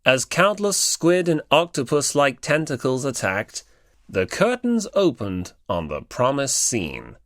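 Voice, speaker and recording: A man narrating an audiobook story in a mid-pitched voice with very lively intonation. The recording is close and dry, and he reads from a script. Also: a little slow, no room sound.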